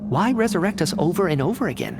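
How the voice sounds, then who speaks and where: An actor playing an analytical male researcher in a video game cutscene, explaining in a measured, cerebral way. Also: sits high, big pitch swings, creaky, heavy background noise, full character voice. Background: game score music.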